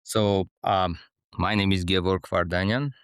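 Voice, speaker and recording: Slow, smooth-voiced man in his forties. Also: sits low, fairly even intonation, no echo, studio quality. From Armenia. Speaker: guest, attorney. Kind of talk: remote interview.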